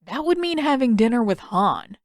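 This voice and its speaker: A woman's voice: fairly high pitch, big pitch swings, normal speed, no room sound, studio quality. A woman voicing a vain, defensive teenage boy in an audiobook story, casual and exasperated in manner.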